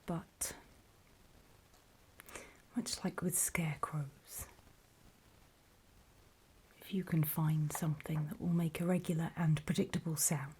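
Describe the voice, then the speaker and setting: Breathy, whispering woman as a wary apocalypse survivor. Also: sits low, little pitch movement, full character voice. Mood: wary, instructive. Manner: hushed, deliberate, halting storytelling with pauses. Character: wary, battle-worn female survivor of a zombie apocalypse. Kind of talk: whispered ASMR horror roleplay monologue.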